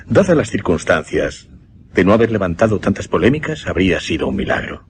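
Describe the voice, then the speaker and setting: An actor with a Castilian accent playing a formal, courteous, grave gentleman in a radio suspense play, with deliberate and hesitant phrasing. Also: sits low, sing-song, slight background noise. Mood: grave, regretful. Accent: Castilian Spanish. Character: courteous, grave gentleman recounting a notorious mesmerism case.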